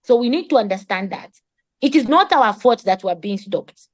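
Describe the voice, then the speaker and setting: A woman's voice: a little high, animated, normal speed. A woman who speaks English as a second language, giving an impassioned advocacy speech in an emphatic, rhetorical manner. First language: not English. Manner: emphatic, rhetorical questions, repeats words for emphasis. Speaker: speaker at a community summit.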